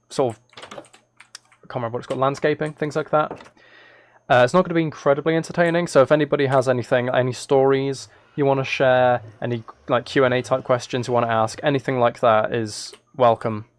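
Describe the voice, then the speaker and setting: A man's voice: medium pitch, no room sound. A man chatting casually on a live gaming stream, thinking aloud in a hesitant, rambling way.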